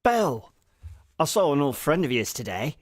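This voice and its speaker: Guy playing friendly husband, animated mid-pitched voice, close dry sound, radio play. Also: moderate pace, no room sound. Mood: teasing, gossiping. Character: genial husband sharing news with his wife. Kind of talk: vintage radio drama acting.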